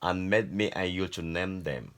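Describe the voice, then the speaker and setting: A man's voice: deep voice, moderate pace, a bit bright. An older man with a Nigerian accent giving informal Bible teaching to family in a repetitive, exhorting way. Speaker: grandfather teaching a Bible story.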